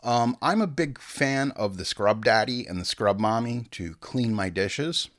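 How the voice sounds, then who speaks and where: A man in his forties hosting a podcast, chatting casually in slangy, animated stories and acting out quotes. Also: mid-range voice, unhurried. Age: 40s. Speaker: podcast host. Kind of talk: casual solo podcast talk.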